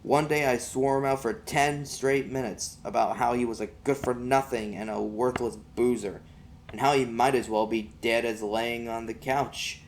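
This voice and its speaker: A man's voice: mid-range voice, slight background noise. A man reading an audiobook as an amateur, narrating in the first person in a folksy vernacular.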